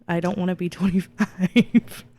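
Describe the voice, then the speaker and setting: A woman's voice: on the low side, fairly even intonation, measured pace, dark tone. A woman in her twenties chatting casually as a podcast guest, playful and teasing. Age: 20s. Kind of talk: casual chat.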